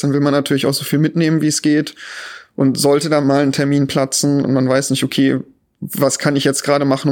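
A man in his thirties with a Rhineland German accent, a journalist answering podcast questions in a relaxed, thoughtful way with frequent ums. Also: mid-range voice. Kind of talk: podcast interview.

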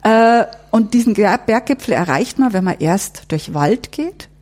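Mellow woman explaining conversationally. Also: mid-range voice, animated, measured pace. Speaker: exhibition curator and historian. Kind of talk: conversational interview, guiding through an exhibition.

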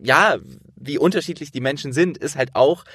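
A man's voice: a little high, moderate pace, a bit bright, breathy edge, no echo. A man hosting a casual interview, talking in a rambling, storytelling way.

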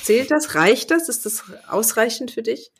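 A woman co-hosting a casual podcast, talking in a lively, teasing way. She laughs readily and jokes with her partner while chatting freely. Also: a little high, normal speed, husky. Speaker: podcast co-host. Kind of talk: casual chat.

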